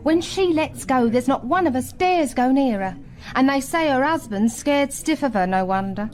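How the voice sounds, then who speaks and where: Chatty young english working girl, gossipy, highish lilting voice, radio play. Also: animated, moderate pace, a little mellow. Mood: confiding. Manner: confiding, chatty. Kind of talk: radio drama acting.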